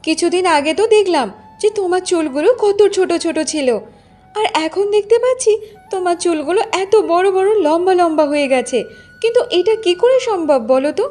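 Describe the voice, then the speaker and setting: A woman's voice: extremely high pitch, lively intonation, fairly quick, darkish tone, voice pushed up. A woman voicing a vain, bossy young village wife in a cartoon, demanding and boastful as she nags. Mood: suspicious, surprised. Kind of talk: Bengali cartoon voice acting. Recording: music bed under the voice.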